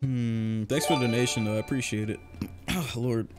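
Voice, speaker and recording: A man's voice: mid-range voice, steady tone, normal speed, over music. Young man streaming, voice wearing out. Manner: loose, joking, chatty with viewers, laughs often. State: voice getting worn from long talking. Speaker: game streamer. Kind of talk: casual live-stream chat while gaming.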